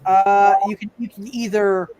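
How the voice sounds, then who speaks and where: A game master casually refereeing a tabletop roleplay session, thinking aloud in repeated, halting phrases. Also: mid-range voice, flat delivery, darkish tone, clean tone, muffled recording.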